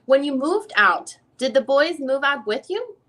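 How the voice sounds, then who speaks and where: A woman reading the part of a female lawyer who questions a witness in a deposition, in halting, rephrased questions. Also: high voice, big pitch swings, pushed and bright, heavily acted. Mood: probing. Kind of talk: dramatic reading of a legal deposition transcript.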